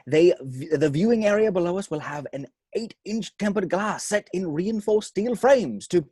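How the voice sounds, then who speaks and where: A man playing a jovial, boastful older theme park owner in a script table read, with theatrical, halting delivery. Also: high-pitched, expressive, warm tone, husky, dry recording, clean studio sound. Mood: reassuring.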